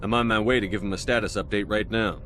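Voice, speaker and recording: Man playing a deep, brisk, blunt military commander. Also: steady tone, fairly bright tone, slight background noise, lightly acted. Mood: matter-of-fact. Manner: terse, firm, matter-of-fact. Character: no-nonsense male military commander, disciplined and blunt. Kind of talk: video game cutscene acting.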